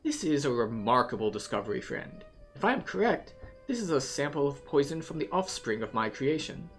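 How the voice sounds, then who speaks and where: A player voicing a grateful, excitable male alchemist who gives quests in a fantasy game, speaking in a theatrical, formal way. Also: medium pitch, a little fast, faint music behind. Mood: amazed, grateful.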